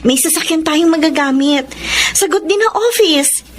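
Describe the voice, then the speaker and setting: A woman's voice: high-pitched, lively intonation, moderate pace, a little hiss or hum. A Filipino woman playing a dutiful, affectionate young daughter in a Tagalog radio drama. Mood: proud, affectionate.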